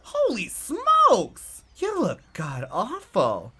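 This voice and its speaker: A woman's voice: on the high side, huge pitch swings, very slow, bright, forceful tone, slight background noise, heavily acted. A woman playing a brash, outspoken and loyal circus performer in a radio drama, blunt and slangy. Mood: exasperated.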